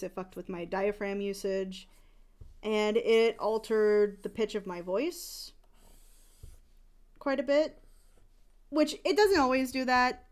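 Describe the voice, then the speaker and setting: Young woman streamer, casual rambling chat, smooth clear voice, mid pitch. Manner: rambling, restarts, candid.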